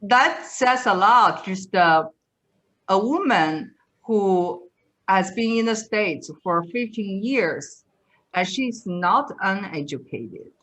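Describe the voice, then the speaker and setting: A woman's voice: medium pitch, expressive, slow pace, fairly bright tone, low-quality recording. A woman from China, chatting reflectively as an interviewer. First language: Mandarin Chinese. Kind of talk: casual interview chat, switching between languages.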